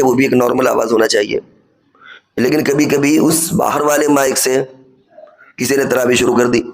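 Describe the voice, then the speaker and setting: Male cleric, medium pitch, instructive Friday sermon delivery, reasoned and explanatory. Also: rough recording. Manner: didactic, reasoning step by step with examples and rhetorical questions. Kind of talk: sermon, explanatory religious lecture.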